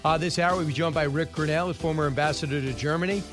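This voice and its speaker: A man hosting a radio talk show, rattling through the news with wry asides and quick opinions. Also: fairly high pitch, fairly even intonation, music in the background. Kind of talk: casual news-commentary monologue. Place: radio studio.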